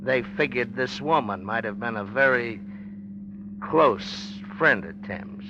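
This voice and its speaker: A man's voice: mid-range voice, expressive, slow pace. A man playing a cocky, needling, tough-talking newspaperman in a 1940s radio drama, slangy and smug. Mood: insinuating. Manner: slangy, smug, hard-boiled. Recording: dull noisy old radio recording.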